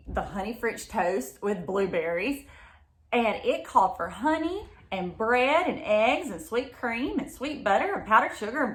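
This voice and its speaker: A woman reads a picture book aloud to young children in an expressive way, pausing to explain and ask them simple questions. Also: fairly high pitch, echoey room. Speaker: read-aloud storyteller for children.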